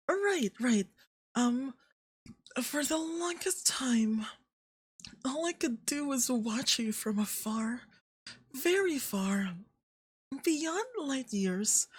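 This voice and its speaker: Casting: a woman as a vain, flirty androgynous deity for ASMR roleplay, high and very animated, smugly possessive. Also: no echo, exaggerated voice. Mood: wistful. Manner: unhurried, smug, theatrical, doting.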